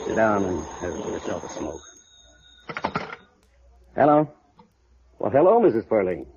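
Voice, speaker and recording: A man's voice: on the low side, very animated, measured pace, warm tone, breathy edge, heavily acted. An American man playing a blunt, weary, prickly detective agency boss in a 1950s radio noir drama. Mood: subdued, cooperative. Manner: blunt, grumbling, hard-boiled. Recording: muffled vintage radio recording.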